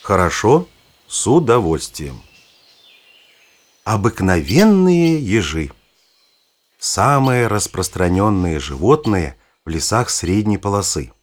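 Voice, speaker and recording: An older male actor playing a kindly, knowledgeable grandfather who explains nature to his granddaughter in a husky voice, in a children's audio play. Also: lowish voice, sing-song, heavily acted. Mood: instructive, engaged. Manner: unhurried, storytelling, instructive. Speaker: narrator-guide in an educational audio play.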